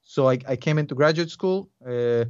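A man's voice: mid-range voice, a little fast, clear, resonant tone. A man in his forties with a thick Russian accent chats as a podcast guest. He rambles, restarts his sentences and laughs a lot. His voice sounds dry and a little dull, with no room echo. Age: 40s. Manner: animated, rambling, laughs often. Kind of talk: casual interview chat.

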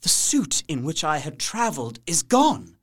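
A man's voice: on the high side, very animated, measured pace. An actor playing a distressed young captive narrating his journal in an audio drama, earnest, intense and formal. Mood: alarmed. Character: distressed young Englishman imprisoned in a castle, journaling.

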